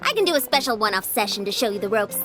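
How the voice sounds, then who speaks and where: A woman's voice: medium pitch, sing-song, quick pace, over loud music, close to an everyday voice. An actress voicing a peppy, confident young female warrior in a fantasy game, upbeat and determined.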